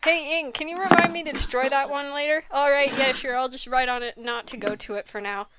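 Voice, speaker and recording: A woman's voice: high voice, fairly even intonation, fairly quick, noisy background. A woman casually commentating on stories in an exasperated, sweary, comedic way. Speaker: fanfiction reader and commentator.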